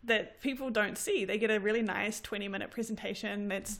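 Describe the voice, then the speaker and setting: A woman's voice: mid-range voice, fairly quick. A woman in her thirties with a New Zealand accent, chatting casually and telling stories animatedly, often laughing. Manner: animated storytelling with frequent laughter. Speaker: graduate student. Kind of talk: casual conversation.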